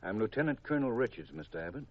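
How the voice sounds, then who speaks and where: An actor with an American accent playing a formal, guarded counterintelligence colonel in 1950s radio drama style, measured and grave. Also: male voice, lowish voice, sing-song. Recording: dull old radio recording.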